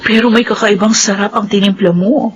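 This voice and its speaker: A woman's voice: medium pitch, steady tone, fairly quick, slightly rough, dull audio, natural voice. An actress playing a scheming young woman who feigns sweetness, with a Filipino accent, in radio drama style. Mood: coy, sweet.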